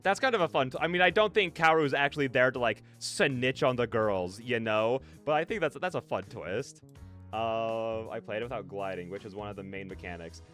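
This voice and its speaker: A man's voice: mid-range voice, fairly quick, a bit bright, soft background music. A man hosting a live stream in casual, rambling, self-deprecating chat, on a close dry mic. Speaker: streamer and host. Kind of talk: casual live-stream chat with chat replies and read-aloud game narration.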